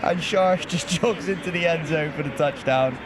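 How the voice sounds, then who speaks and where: A man calls a live football game with a high, bright voice, quick to joke and laugh. He is recorded outdoors with clear traffic and ambient noise running underneath the commentary. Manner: lively, jokey play-by-play, laughs easily. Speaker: play-by-play commentator.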